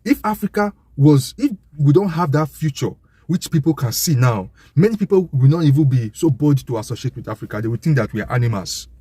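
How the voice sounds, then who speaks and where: A man's voice: a little high, animated, a little fast, warm tone, slightly rough, dry recording. Emphatic Nigerian man, close dry sound. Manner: emphatic, repetitive, assertive. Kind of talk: impassioned informal monologue to an audience.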